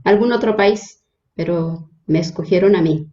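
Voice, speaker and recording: Nahuatl-native woman from Mexico, mellow and unhurried, hesitant with fillers; echoey, dull audio. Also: lowish voice. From Mexico, Hidalgo state. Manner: hesitant, frequent fillers and restarts. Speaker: religious sister, guest. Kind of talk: interview, personal introduction.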